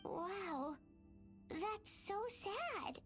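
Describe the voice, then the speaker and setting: A woman voicing a tiny, childlike fairy companion in a video game, cute, earnest and excitable. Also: very slow, faint music behind, exaggerated voice. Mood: sad, uneasy. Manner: squeaky, cute, refers to herself by name. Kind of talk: cartoonish video game voice acting.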